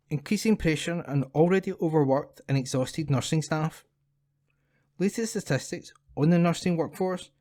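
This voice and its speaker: A man's voice: a little high, dark tone, no echo, studio quality. A man reading scripted local news as a volunteer for an audio newspaper. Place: at home, dry room.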